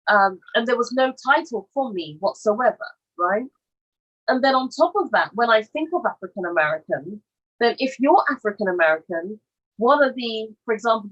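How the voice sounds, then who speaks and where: Deliberate woman panelist, slightly high. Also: fairly bright tone, muffled recording. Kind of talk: panel discussion.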